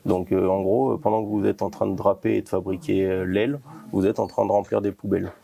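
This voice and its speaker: Male company founder interviewed, mellow and fairly monotone, explanatory and halting. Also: low-pitched, creaky.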